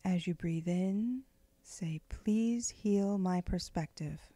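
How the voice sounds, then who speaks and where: A woman leading a guided meditation in a gentle, unhurried, soothing way, with phrases often trailing off into breath. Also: lowish voice, no room sound. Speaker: meditation guide, spiritual director.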